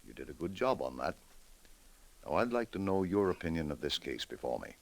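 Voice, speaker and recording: An actor playing a solemn judge in a 1940s radio drama, speaking formally and deliberately from the bench. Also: male voice, a bass voice, big pitch swings, gravelly, full character voice. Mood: grave, deliberating.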